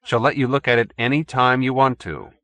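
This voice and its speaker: A man's voice: medium pitch, fairly quick, sharp tone, dry recording, pitched above the performer's own voice. Man playing a mild, earnest old man. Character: earnest gentle old man, reasonable and patient.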